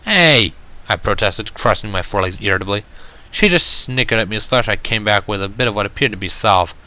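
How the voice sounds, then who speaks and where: A man giving an expressive amateur narration of a fan fiction story. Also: fairly low pitch, quick pace.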